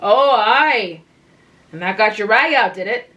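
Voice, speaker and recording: A man's voice: sits high, animated, unhurried, sharp tone, distant mic, highs cut off, heavily acted. A woman reading a blunt, stubborn young farmer man. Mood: plain. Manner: blunt, plain-spoken teasing. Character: slow stubborn young male farmer, teasing.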